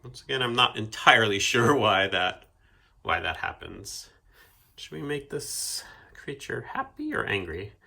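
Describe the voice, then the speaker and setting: A man's voice: mid-range voice, big pitch swings, measured pace, creaky, sharp tone. A man leading a children's storytime, chatting and asking the kids questions while he draws and explains things. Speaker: author and illustrator leading a kids' storytime. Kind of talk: playful children's storytime talk.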